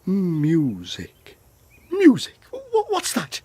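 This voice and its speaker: High-pitched, lovesick, stammering inventor. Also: male voice, huge pitch swings, drawn-out words, darkish tone, husky, no echo, full character voice. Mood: flustered, hurt. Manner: stammering, over-eager, repeats himself. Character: lovesick, rambling male inventor in mythic comedy. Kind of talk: comic audio drama acting.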